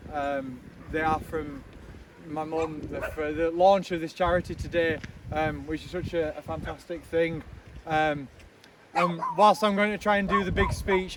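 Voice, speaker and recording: Guy giving a chatty launch speech outside, jokey, lots of ums, pretty high voice, noisy recording. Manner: loud, chatty, joking asides, many ums. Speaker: speaker at a charity launch. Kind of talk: informal outdoor speech. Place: outdoors in the snow with a crowd.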